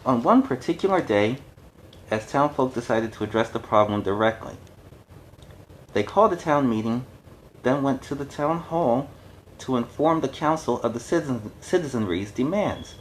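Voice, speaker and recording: A man with a medium-pitched voice hosts a story reading. He moves between narration and casual, explanatory asides, with faint noise in the recording. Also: expressive, moderate pace, low-quality recording. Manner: conversational, explanatory. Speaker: storyteller, host.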